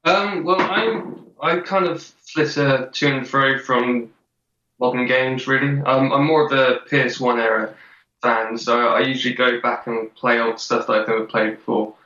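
A man's voice: mid-range voice, fairly even intonation, moderate pace, pushed and bright, roomy sound, far from the mic, muffled recording. Hesitant man in his twenties. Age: 20s. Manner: hesitant, many ums and uhs. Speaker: podcast guest. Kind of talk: casual chat.

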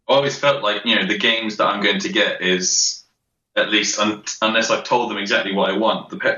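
A man's voice: lowish voice, sharp tone, reverberant, muffled recording. A man in his twenties chatting casually as a podcast guest, rambling with fillers and restarts. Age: 20s. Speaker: podcast guest, game developer. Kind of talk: casual chat.

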